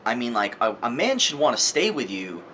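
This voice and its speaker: A man's voice: mid-range voice, very animated, fairly quick, sharp tone, a little hiss or hum. A man giving an informal, opinionated book review monologue in a conversational, emphatic way, repeating words for effect.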